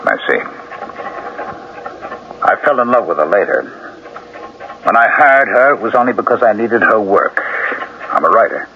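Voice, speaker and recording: A man's voice: lowish voice, very animated, normal speed, pauses often. An actor playing a troubled male novelist in a 1970s radio drama, formal and earnest, guarded yet anguished. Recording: muffled, noisy old radio recording.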